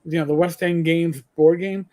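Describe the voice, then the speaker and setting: A man's voice: on the high side, fairly even intonation, moderate pace, warm tone. A middle-aged man co-hosting a gaming livestream, rambling with stammered restarts and the odd swear. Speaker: livestream co-host. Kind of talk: casual gaming chat.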